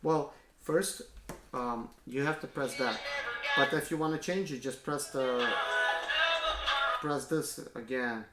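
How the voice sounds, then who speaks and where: A man in his thirties hosting a relaxed product unboxing, talking in a halting way with frequent fillers and restarts. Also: mid-range voice, over loud music. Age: 30s. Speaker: YouTube host. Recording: echoey room with background noise.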